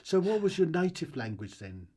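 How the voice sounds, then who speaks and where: Mellow-voiced male podcast host. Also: on the high side, moderate pace. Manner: relaxed, questions with hesitant restarts and fillers. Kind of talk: casual interview.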